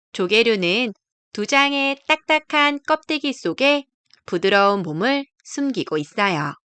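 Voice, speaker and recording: A woman narrates a picture book for young children in a high, very animated voice. She explains things gently, as a storyteller would. Her tone is clean and smooth. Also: dry recording.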